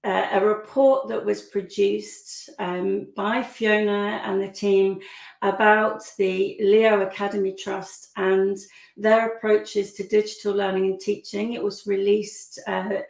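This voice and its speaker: Croaky woman hosting a webinar. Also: medium pitch, flat delivery, slow, roomy sound. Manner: chatty, warm welcomes with frequent fillers. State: hoarse, croaky. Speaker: webinar host.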